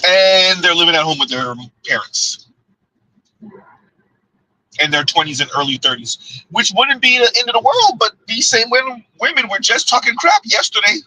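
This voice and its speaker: A man's voice: high voice, expressive, moderate pace, sharp tone, low-quality recording. A man hosting a livestream, giving casual commentary, reading chat aloud and laughing often as he answers viewers. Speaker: livestream host.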